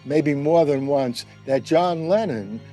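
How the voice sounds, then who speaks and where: A man on a discussion panel speaking thoughtfully and articulately, in measured full sentences with deliberate emphasis. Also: on the high side, dark tone, soft background music. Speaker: panelist in a radio roundtable.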